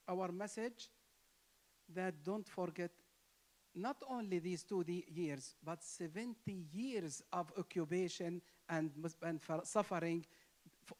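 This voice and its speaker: A man's voice: high-pitched, a little mellow. A man from Palestine, a native Arabic speaker with a Palestinian Arabic accent, giving an impassioned, emphatic speech at an interfaith gathering. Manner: emphatic, repetitive, rising to stress key words.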